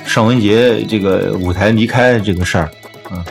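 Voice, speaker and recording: A man's voice: fairly low pitch, normal speed, creaky. A man hosting a casual music podcast, talking through his opinions in an informal discussion.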